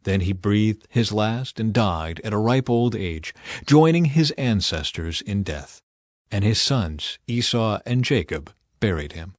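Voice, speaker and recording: A man narrating scripture as an audiobook reader, in a measured, solemn way. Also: fairly low pitch, expressive, no echo, clean studio sound.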